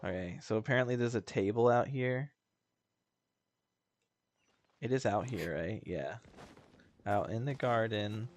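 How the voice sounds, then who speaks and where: A man's voice: fairly low pitch, moderate pace. A man casually commenting over a video game he is playing, bored and sarcastic, dismissive of what is on screen. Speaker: gaming streamer. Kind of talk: casual let's-play commentary.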